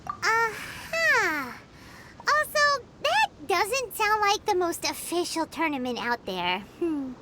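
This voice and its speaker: A woman voicing a tiny childlike game companion, squeaky, pouty and outspoken. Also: big pitch swings, takes it slowly, background noise, full character voice. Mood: skeptical, indignant. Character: tiny childlike floating female companion, chirpy and blunt. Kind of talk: video game voice acting. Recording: loud music behind.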